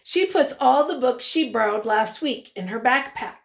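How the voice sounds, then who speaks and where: A woman teacher reading a picture book aloud to young children, expressively, pausing to put questions to them. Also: high-pitched, creaky.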